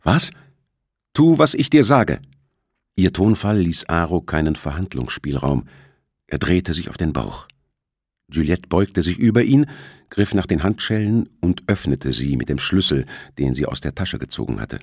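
Low-pitched, expressive male audiobook narrator. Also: normal speed, slightly rough, no room sound, studio quality. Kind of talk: scripted thriller narration.

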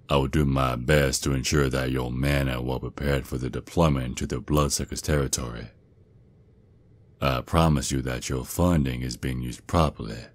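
Very deep Southern werewolf dad played by a man. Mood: formal, polite. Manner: doting, folksy, slow drawl with pet names. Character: protective Southern werewolf father, gruff military sergeant. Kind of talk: ASMR roleplay acting.

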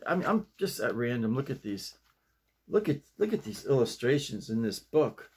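An American man in his sixties chatting casually to camera in a halting, digressive way, often repeating his phrases. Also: mid-range voice, sing-song, husky. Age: 60s. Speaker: vlogger. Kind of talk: rambling casual chat to camera. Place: small home office, indoors.

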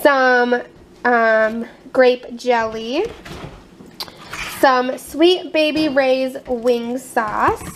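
A young American woman with a high-pitched voice narrates a casual show-and-tell vlog. She takes her time, naming things in short, halting phrases. The handheld camera recording in a home kitchen carries noticeable noise. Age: 20s. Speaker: family vlogger. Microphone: handheld camera at arm's length.

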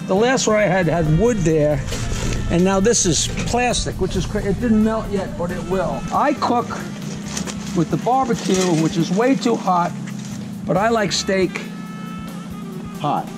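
A man with a notably high voice chats casually while he cooks outside at a grill. He tells blunt, wry stories, and music plays clearly in the background. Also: expressive, unhurried, poor audio. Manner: wry storytelling, blunt jokes. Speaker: comedian cooking on camera. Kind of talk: casual chat while cooking. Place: backyard grill, outdoors.

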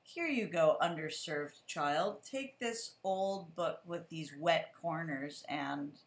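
A woman teacher hosting a casual chat with a guest over a video call, relaxed and chatty. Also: low-pitched, takes it slowly. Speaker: teacher and show host. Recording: echoey room.

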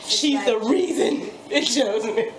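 A woman's voice: a little high, expressive, slow pace, noisy background. A young woman vlogging with a friend in a small home kitchen on a phone held at arm's length, teasing and laughing often. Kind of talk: casual chat.

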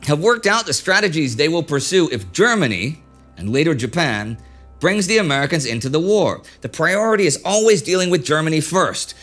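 A man's voice: a little high, a bit bright. A middle-aged man hosting a history show, presenting a script in a conversational way with wry asides, seated at a desk in a studio set with a lapel mic. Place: indoor studio set styled as an office.

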